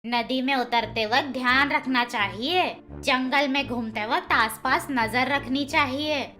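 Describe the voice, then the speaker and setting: Fussy cartoon granny bird, played by a woman: elderly, nagging, piercing and high, bossing the youngsters around. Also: a little slow, bright, forceful tone. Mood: sarcastic scolding. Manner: scolding, sarcastic, fussing. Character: nagging, sharp-tongued old grandmother bird. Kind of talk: children's animated moral story.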